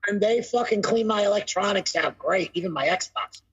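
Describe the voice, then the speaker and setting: A young man co-hosting a casual podcast over a video call from home, talking loudly and joking with a raspy voice through his phone mic. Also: high voice, moderate pace, muffled recording, a loud crowd. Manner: loud, joking, cursing freely. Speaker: podcast co-host. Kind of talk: casual chat. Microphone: phone mic, no headset.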